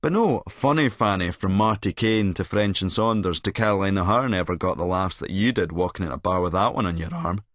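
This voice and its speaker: A man's voice: deep voice, lively intonation, fairly bright tone, no echo, studio quality. Man acting sneering, sarcastic tormentor. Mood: scornful. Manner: drawn-out mocking delivery. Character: sneering, bitterly sarcastic male bar-room tormentor.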